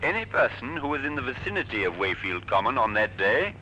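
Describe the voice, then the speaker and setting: A formal male police announcer reads in vintage radio bulletin style. His voice is mid-pitched, bright and forceful, with wide swings in intonation. There is faint noise behind it. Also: sing-song, a little fast. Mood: official. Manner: formal, declarative reading. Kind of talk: 1940s-50s radio bulletin. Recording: slightly noisy old radio recording.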